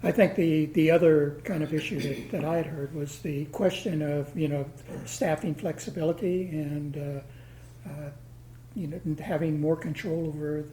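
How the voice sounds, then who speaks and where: A soft-spoken man at a public meeting, hesitant and full of fillers. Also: a little high, slight background noise. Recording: echoey meeting room.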